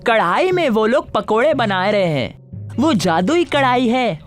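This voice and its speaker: A woman's voice: a little high, very animated, a little slow, faint music behind. A woman voicing a meek but cheeky male servant of a landlady in a story, eagerly reporting to the boss. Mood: nervous, reporting.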